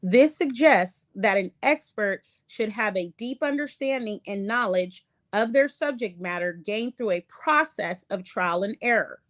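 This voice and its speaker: A woman talks through a lesson from her slides, slow and unhurried, stopping often with ums and fresh starts. English is not her first language, and her voice sits at an ordinary middle pitch. The sound is dry and close, with no room around it. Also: expressive, a bit bright, no echo. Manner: halting, many ums and restarts. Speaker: online show host. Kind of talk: casual lecture reading from slides.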